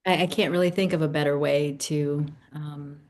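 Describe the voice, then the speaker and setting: Casting: woman poet in her 50s, Italian as first language, notably low voice, for readings and talk about her work. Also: steady tone, moderate pace, a little mellow. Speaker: poet, guest. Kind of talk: poetry reading and conversation about own poems.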